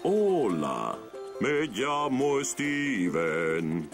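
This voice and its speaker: Giant friendly cartoon whale, unhurried, jovial, sing-song, music behind. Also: male voice, mid-range voice, huge pitch swings, heavily acted. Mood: jovial, proud. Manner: slow, jovial, breaks into la-la singing. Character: huge friendly male blue whale who loves singing. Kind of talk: children's cartoon dub.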